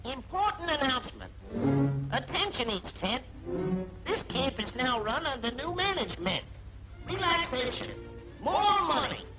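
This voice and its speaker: An actor playing a brash, whiny young army private who gripes in slangy rhyme in a 1940s wartime cartoon. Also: male voice, extremely high pitch, big pitch swings, unhurried, long pauses, full character voice. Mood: bossy, boastful. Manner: complaining, slangy, sing-song rhyming delivery. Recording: dull 1940s film soundtrack, loud music.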